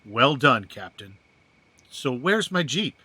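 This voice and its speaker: An actor playing a gruff, fatherly old mentor in an audio drama, with a dry, sardonic manner. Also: mid-range voice, very animated, takes it slowly, pushed and bright, exaggerated voice. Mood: dry, wary.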